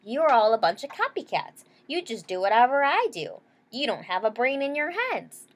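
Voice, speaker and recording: A woman's voice: a little high, very animated, normal speed, pitched above the performer's own voice. A woman voicing a stubborn, defiant young schoolgirl in a read-aloud storybook, speaking bluntly and emphatically.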